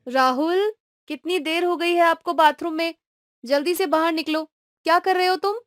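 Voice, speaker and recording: A caring, firm cartoon mother, voiced by a woman. Her voice is high and sounds dry and close, and she explains things patiently and warmly to her child. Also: moderate pace, no echo. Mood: calling. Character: caring young mother, firm but loving.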